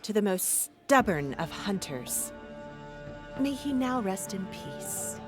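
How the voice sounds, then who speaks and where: A woman's voice: mid-range voice, very animated, slow pace, over loud music. A woman playing a solemn, sincere comrade in a fantasy video game. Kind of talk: video game character acting.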